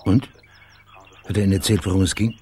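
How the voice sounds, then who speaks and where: An actor playing a calm private investigator in a radio crime drama, terse, polite and inquisitive. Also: male voice, sits low, quick pace, close to an everyday voice.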